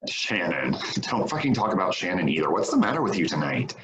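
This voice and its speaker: A man's voice: low voice, sing-song, fairly quick, fairly bright tone, muffled recording. A man acting a loyal, protective friend in a staged play reading, firm and reassuring. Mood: uneasy, firm.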